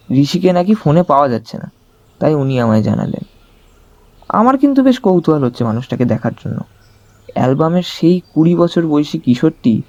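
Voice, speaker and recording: A man telling a detective thriller in the first person, in an expressive, conversational storytelling style. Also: a little high, dry recording, dull audio. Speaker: narrator of an audio story.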